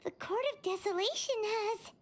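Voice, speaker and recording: Squeaky little companion creature. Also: female voice, normal speed. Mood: eager, curious. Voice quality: squeaky, childlike. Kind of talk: cartoonish video game voice.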